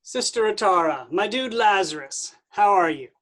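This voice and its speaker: A woman's voice: medium pitch, animated, normal speed, fairly bright tone. A woman playing a flippant, laid-back male messiah who talks like a slangy dude, in a staged reading of a comic play. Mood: breezy, glib.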